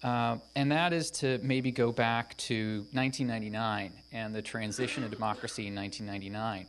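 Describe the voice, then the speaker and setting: A man's voice: fairly low pitch, steady tone, a little fast, slight background noise. A male professor speaking at an academic panel into a microphone, conversational with frequent ums. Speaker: professor of African politics. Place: conference room. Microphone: microphone at a podium.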